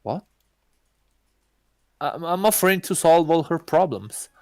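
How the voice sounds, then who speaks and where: A man's voice: a little high, lively intonation, measured pace, dry recording, pitched above the performer's own voice. A man voicing an arrogant god posing as an exchange student, pompous and disdainful, in a visual novel. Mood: indignant. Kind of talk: visual novel read-aloud.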